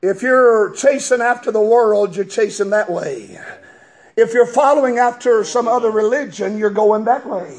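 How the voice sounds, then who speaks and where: A man preaching a fiery revival sermon in a church, a strong voice and a chanting, shouting cadence, as the congregation answers him. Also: high-pitched, normal speed. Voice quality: strong, gravelly voice. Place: church sanctuary with congregation responding.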